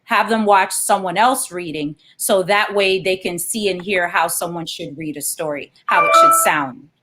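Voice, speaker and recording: A woman's voice: fairly low pitch, normal speed, bright, forceful tone. A woman, a children's author, reading aloud and explaining things as an interview guest.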